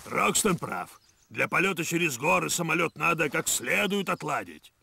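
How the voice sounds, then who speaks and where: An actor voicing a stern, practical jungle explorer in a cartoon, with a gravelly voice. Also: male voice, a little high, sing-song, moderate pace, bright, forceful tone, heavily acted. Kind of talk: animated adventure cartoon dub.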